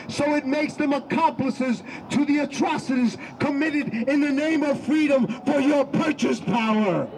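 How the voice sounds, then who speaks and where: A man performs spoken-word poetry outdoors in a city, his voice pitched unusually high for a man. He declaims in bursts, often shouting, and sweeps between loud and soft, fast and slow. Steady traffic noise runs behind him. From Ecuador. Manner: declamatory, often shouting, dramatic swings in loudness and pace.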